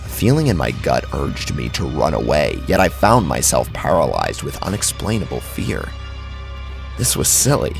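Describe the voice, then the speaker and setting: A man's voice: very deep voice, sing-song, normal speed, vocal fry. A man narrating a scripted first-person horror story dramatically, close on the microphone. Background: background music.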